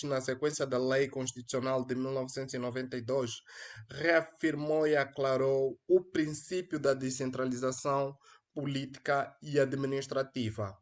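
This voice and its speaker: A young man with an Angolan accent, hosting a solo live stream in an earnest, hesitant way, with many fillers and restarts, speaking into a headset mic. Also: on the high side. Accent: Angolan Portuguese. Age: young adult. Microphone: headphones with mic.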